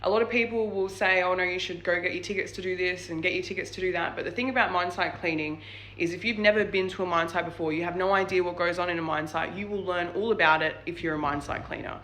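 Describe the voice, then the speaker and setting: A woman's voice: lowish voice, fairly even intonation, fast talker, fairly bright tone, slight background noise. A young Australian woman from New South Wales giving casual advice in a vlog, chatty and full of fillers like "like" and "um". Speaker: vlogger.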